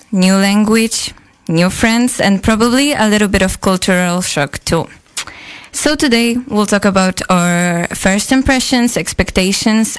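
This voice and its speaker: A woman's voice: medium pitch, clean tone. A young woman hosting a student radio show, reading a scripted intro and then asking guests questions with some fillers.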